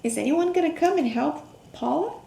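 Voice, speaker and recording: A woman reading a picture book aloud to children, expressive, with questions, gasps, hums and hushed asides. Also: high voice, a little fast, creaky. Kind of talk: interactive children's read-aloud with questions to young listeners. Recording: roomy echo, faint background noise.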